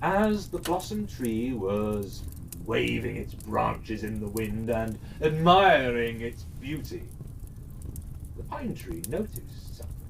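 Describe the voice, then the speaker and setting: A storyteller narrating a children's fairytale expressively, with dramatic, deliberate phrasing. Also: mid-range voice, very animated, gravelly. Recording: slightly distant mic, faint noise.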